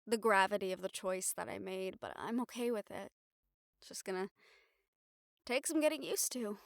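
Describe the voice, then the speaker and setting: A woman acting a young reporter girlfriend in an intimate audio roleplay, wistful but bravely upbeat, musing in halting, expressive phrases. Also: medium pitch, gravelly, no echo, studio quality, lightly acted. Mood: wry, playful.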